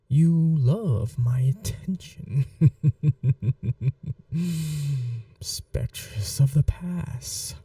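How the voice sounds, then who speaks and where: A woman playing an aristocratic, possessive vampire mistress in a teasing, quietly menacing, breathy, intimate ASMR roleplay, often dropping to a whisper. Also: a bass voice, no echo, clean studio sound, far from the mic, full character voice. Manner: slow, languid, condescendingly affectionate. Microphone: close microphone, dry.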